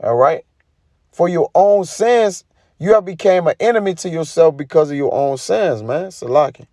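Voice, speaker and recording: A man's voice: fairly high pitch, animated, moderate pace, warm tone, no echo, clean studio sound. A man giving an informal sermon, reading scripture and commenting in an emphatic, colloquial way with frequent tag questions. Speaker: preacher.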